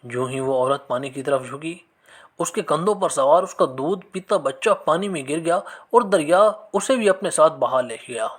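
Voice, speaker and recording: A man narrating scripted religious moral stories in an expressive, measured storytelling manner. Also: on the high side.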